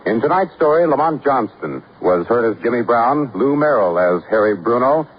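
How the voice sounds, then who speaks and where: Lively 1950s radio announcer man. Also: medium pitch, moderate pace, slightly rough. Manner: formal, projected announcer delivery. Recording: dull, noisy old radio recording.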